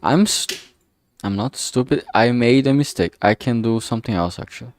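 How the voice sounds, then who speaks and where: A man thinking aloud in a casual, halting way while drawing on a stream, talking mostly to himself. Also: medium pitch, darkish tone, no room sound. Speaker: streamer making visual novel art.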